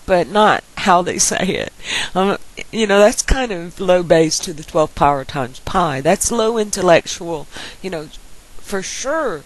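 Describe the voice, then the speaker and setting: A woman's voice: lowish voice, low-quality recording. Woman with a very nasal voice. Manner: meandering, speculative talk. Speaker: YouTube commentator replying to viewers. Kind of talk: casual vlog monologue.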